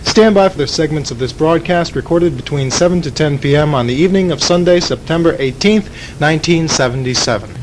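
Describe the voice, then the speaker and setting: A man's voice: a little fast, few pauses. A man giving a formal radio network announcement from a script. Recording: noisy 1970s radio tape, rumble.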